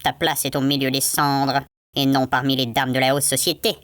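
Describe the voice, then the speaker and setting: Woman doing a mean snooty stepmother for a fairy tale, lowish voice, bossy, dry sound. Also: flat delivery, moderate pace, a bit bright, no room sound. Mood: scornful. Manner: condescending, commanding. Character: cruel, haughty middle-aged stepmother, coldly scornful. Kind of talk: acted fairy tale storybook.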